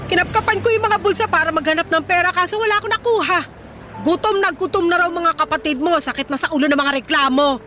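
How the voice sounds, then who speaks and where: Shrill nagging Filipino mother. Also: fast talker, bright, forceful tone, a little hiss or hum. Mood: exasperated complaining. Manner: scolding, complaining. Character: nagging, blunt working-class mother of a grown daughter. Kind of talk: Filipino radio drama acting.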